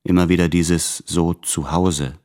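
An actor playing a tormented, tender male letter writer in a literary radio play, anxious and introspective, speaking in measured phrases with deliberate pauses. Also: deep voice, fairly even intonation, a little mellow. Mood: confessional, wistful.

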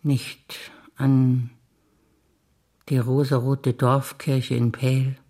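An older woman, an actress reciting memories in a quiet, measured way on stage. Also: a bass voice, level tone.

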